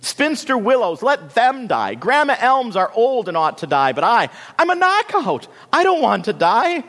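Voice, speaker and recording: A man's voice: a little high, big pitch swings, measured pace, breathy edge, pitched above the performer's own voice, full character voice. A man voicing a vain, self-pitying young female marigold in a storybook, screaming shrilly and dramatically. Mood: shrieking indignant. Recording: long hall reverb.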